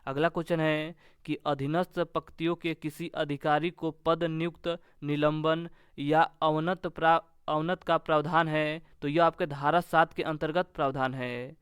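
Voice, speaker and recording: Male exam tutor, very clear smooth voice, even intonation, reading out questions and answers in an instructional way. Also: a little high, moderate pace, few pauses, dry recording, studio quality. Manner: instructional, repetitive set phrasing. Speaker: exam-coaching teacher. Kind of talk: question-and-answer quiz lesson.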